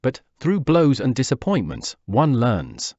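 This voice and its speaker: A man's voice: medium pitch, wildly swooping pitch, unhurried, darkish tone, no echo. A man, reading a wistful first-person memoir. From Peru. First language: Spanish. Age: 40s. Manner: wistful, reflective. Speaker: narrator. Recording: dull dry lo-fi recording.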